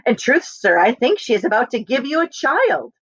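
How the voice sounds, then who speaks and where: A woman's voice: a little high, sing-song, moderate pace, a bit bright, husky, no echo, dull audio, pitched above the performer's own voice, full character voice. A woman reading the part of a practical, polite, plain-spoken medieval woman innkeeper in a storybook. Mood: firm, frank.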